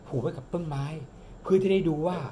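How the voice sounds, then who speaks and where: A man's voice: high voice, a little hiss or hum. Man spinning a spooky tale at home. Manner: animated, dramatic storyteller who slows down for suspense. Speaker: father telling a bedtime-style ghost story to his daughter. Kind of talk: improvised storytelling. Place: home living room, indoors. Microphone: camera on the table in front, close.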